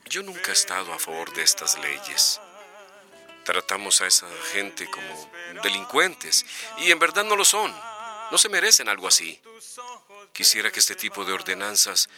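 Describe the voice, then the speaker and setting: An actor playing an earnest, tender politician, pleading and heartfelt in a melodramatic radio soap. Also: male voice, fairly low pitch, huge pitch swings, normal speed, vocal fry, sharp tone, soft background music, exaggerated voice. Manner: heartfelt, emphatic.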